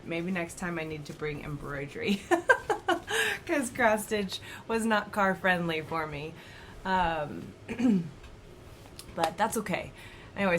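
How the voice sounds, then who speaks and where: A woman in her thirties chatting at home about her stitching, slightly raspy from a cold and laughing often. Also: lowish voice, a little hiss or hum. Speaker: cross-stitch vlogger. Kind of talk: casual chat to camera. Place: home, indoors.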